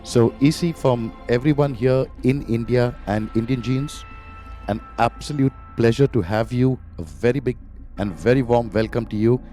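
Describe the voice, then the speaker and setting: A man's voice: mid-range voice, moderate pace, dark tone, soft background music. A man hosting a podcast, welcoming a guest with an enthusiastic, effusive introduction and asking long questions. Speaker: podcast host.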